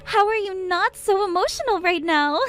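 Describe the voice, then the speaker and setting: Woman doing a giggly drunk sister-in-law. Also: a bit bright. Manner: giggling, high-pitched, taunting.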